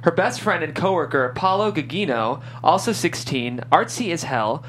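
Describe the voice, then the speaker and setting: A man's voice: on the high side, lively intonation, moderate pace, a bit bright, a little hiss or hum. A man hosting a show, talking casually and reading scripted narration. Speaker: show host and stage-direction reader.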